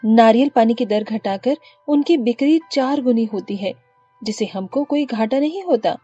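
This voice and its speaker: A woman's voice: a little high, moderate pace, warm tone, husky, dry recording, soft background music. A woman voicing a hardworking, affectionate mother-in-law who sells coconut water in a story, proud and motherly, on muffled audio. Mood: proud, earnest.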